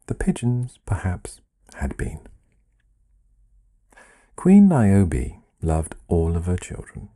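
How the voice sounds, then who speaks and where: A man reading a literary fairy tale in a measured, dryly wry manner with deliberate pauses. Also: low voice, warm tone, slightly rough. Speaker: storyteller reading own written fable.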